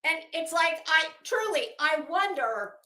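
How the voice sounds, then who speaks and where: A woman hosts a stream in a high, bright voice. She speaks slowly and theatrically, mixing dramatic reading with sarcastic asides. The room around her voice is echoey. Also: lively intonation. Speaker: livestream host. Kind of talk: dramatic reading with off-the-cuff commentary. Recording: echoey, roomy sound.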